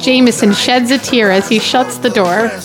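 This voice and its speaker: Female podcast guest, higher voice, blunt, casual comedic delivery. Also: normal speed, over music, rough recording. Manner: blunt, sweary heckling. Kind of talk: casual comic banter and screenplay reading.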